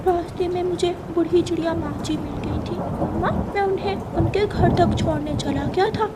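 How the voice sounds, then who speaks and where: A woman's voice: very high voice, fairly even intonation, normal speed, very noisy, voice pushed up. A woman voicing an earnest, courteous little boy bird in a children's cartoon story. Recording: loud rain and thunder behind.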